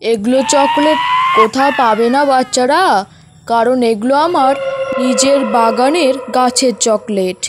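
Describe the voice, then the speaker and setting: A woman's voice: sits high, unhurried, heavy background noise. A woman voicing a sly, greedy bird child-snatcher who coaxes like a candy seller in a cartoon. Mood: coaxing. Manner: scheming, coaxing.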